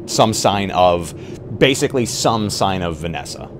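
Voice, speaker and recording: A man with a very deep voice talks through his moves in a tabletop game. He is casual and halting, working it out as he goes. Noise is clearly audible behind him. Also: lively intonation. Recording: live stream with clear background noise.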